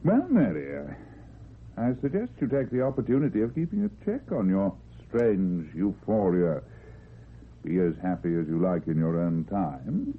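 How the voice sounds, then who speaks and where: A paternalistic, fussy male boss lectures with formal condescension. His low voice is husky and swings widely in pitch. It comes through thin and narrow, like an old radio drama. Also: a little hiss or hum. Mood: stern.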